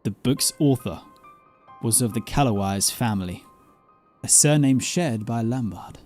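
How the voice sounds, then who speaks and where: A man voicing a grave, scholarly mentor from a fantasy game, telling dark lore in a formal, deliberate storytelling manner. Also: mid-range voice, lightly acted. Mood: grave, explanatory. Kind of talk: dramatic reading of fantasy game dialogue. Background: game music.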